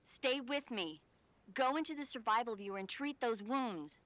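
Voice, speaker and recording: A woman playing a calm military paramedic in a video game, reassuring and instructing a wounded soldier. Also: a little high, normal speed, background noise, close to an everyday voice. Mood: steady, urging. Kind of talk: scripted game tutorial dialogue over radio.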